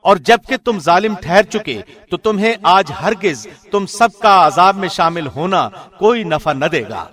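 A man reading a scripture translation from a script in a formal, measured announcer's delivery. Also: sits high, animated, fairly bright tone, soft background music. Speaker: translation reader, radio host.

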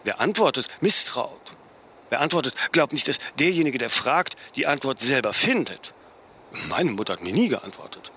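An actor playing an imperious, self-mythologizing older fashion designer in a German radio play, speaking haughtily in aphorisms and tagging sentences with "ne?". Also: male voice, mid-range voice, very animated, normal speed, bright, forceful tone, a little hiss or hum. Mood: aloof, musing.

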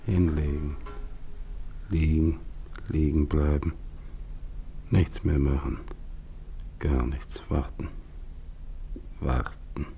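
An actor playing a middle-aged, exhausted polar expedition captain who is freezing and fading, speaking in halting, resigned fragments with a husky voice. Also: male voice, extremely low pitch, fairly even intonation, warm tone, soft background music, heavily acted. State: freezing, weakening. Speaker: expedition leader. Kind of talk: radio drama monologue.

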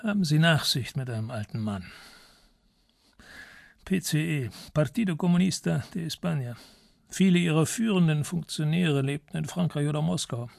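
A man playing a courtly, secretive old ex-intelligence officer in a radio crime drama, gravelly and formal, choosing his words with dignity. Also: on the high side, moderate pace, no room sound, studio quality. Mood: confiding, grave. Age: older.

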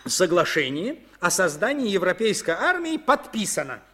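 A man's voice: high voice, big pitch swings, moderate pace, a bit bright. A blustering, grumpy French father. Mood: indignant. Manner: grumbling, blustering. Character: middle-aged French father, irritable and opinionated. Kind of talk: 1950s radio play acting.

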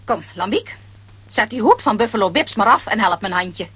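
An actress playing a bossy, scolding, no-nonsense aunt in a children's audio play, brisk and husky. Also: female voice, mid-range voice, expressive, a bit bright, dry recording. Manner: admonishing, brisk.

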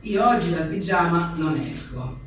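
A man's voice: a little high, animated, a little slow. A man, talking colloquially and irreverently as he presents his writing live. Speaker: author presenting his book. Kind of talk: reading short jokes and chatting informally at a live book presentation. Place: live event venue with an audience. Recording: echoey room, distant mic, faint noise.

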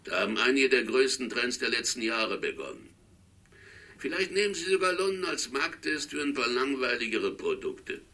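A sceptical man giving an analyst's briefing in a radio play. His mid-pitched voice is bright and incisive. He explains briskly and contradicts bluntly. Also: big pitch swings. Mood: analytical. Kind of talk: radio drama dialogue.

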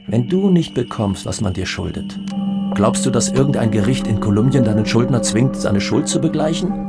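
A man's voice: medium pitch, natural voice. An actor playing a bitter, disillusioned man in a radio play, arguing in accusing rhetorical questions. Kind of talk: dramatic monologue in a radio play. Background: guitar music.

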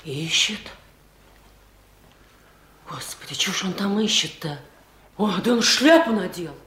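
A woman playing an anxious, nosy village onlooker in a 1970s radio play, hushed and exclaiming. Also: medium pitch, lively intonation, takes it slowly. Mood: anxious, watchful. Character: anxious village woman, nosily watching and reporting. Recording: echoey, faintly noisy radio recording.

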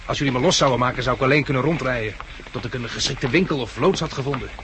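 Actor as earnest hero of an old radio drama. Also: male voice, mid-range voice, fast, natural voice. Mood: tense, reasoning. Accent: Dutch (Netherlands). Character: level-headed captive man, reluctant guide of blind survivors, also narrating. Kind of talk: 1960s Dutch radio drama acting and narration. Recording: noisy old 1960s radio recording.

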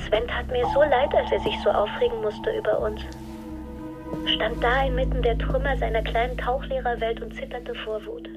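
A troubled young woman played by an actress confides her thoughts in a radio drama. The voice is fairly high, hushed and emotional, with loud music around it. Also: steady tone, moderate pace, natural voice, poor audio. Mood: pitying, upset.

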